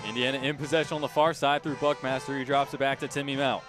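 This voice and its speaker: A man's voice: medium pitch, little pitch movement, quick pace, over music. A male play-by-play announcer with a mid-range voice calls a soccer match live on radio. He follows the action in clipped, urgent phrases with emphatic delivery. Outdoor stadium sound sits faintly behind him.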